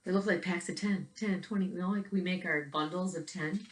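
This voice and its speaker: A woman with a fairly low voice reads a picture book aloud in an echoey room. She tells the story expressively and adds little asides for young listeners. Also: slight background noise. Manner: pauses to comment on pictures as to young children. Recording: roomy, echoing indoor recording.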